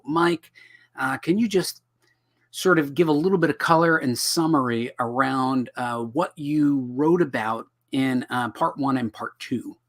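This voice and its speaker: Brief: middle-aged male host, slightly hoarse, jokey and self-deprecating, webcam sound. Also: mid-range voice, a bit bright. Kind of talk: casual livestream teaching. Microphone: video call webcam.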